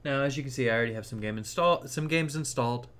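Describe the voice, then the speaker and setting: Man, mid-pitched voice, casual tutorial host, informal, explanatory, step-by-step screen-share narration. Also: quick pace, fairly bright tone.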